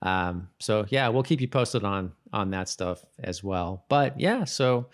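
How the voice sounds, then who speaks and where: A man co-hosting a podcast in a casual, chatty style, often halting and rephrasing as he goes. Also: lowish voice, expressive. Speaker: podcast host. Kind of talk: casual co-hosted chat about a TV show.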